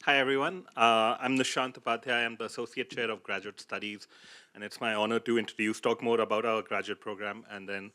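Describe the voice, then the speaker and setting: A man introducing a graduate program at a ceremony, speaking loudly with halting starts and frequent fillers. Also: fairly low pitch, steady tone. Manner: loud, halting, with many fillers. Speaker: associate chair of graduate studies.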